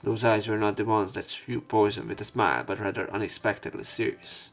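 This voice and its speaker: A man's voice: fairly low pitch, fairly even intonation, fairly quick, gravelly, faint music behind. A man reading visual novel narration aloud as a let's play narrator.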